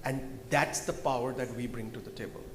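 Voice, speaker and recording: A man on a conference panel, pitching his company's results in a confident, salesy way. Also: fairly even intonation, brisk. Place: large event hall. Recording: echoey hall with loud background noise.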